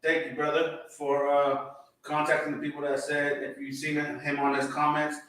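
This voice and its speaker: A man hosting a live podcast, giving easygoing shout-outs to listeners. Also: on the high side, completely flat delivery, normal speed, distant mic. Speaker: podcast host. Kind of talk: casual live podcast chat. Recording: very echoey room, from off-mic.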